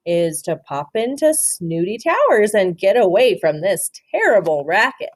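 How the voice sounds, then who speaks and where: A woman voicing a lost, fussy male bear from a picture book, in a slow, deliberate delivery. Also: mid-range voice, sing-song. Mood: uneasy.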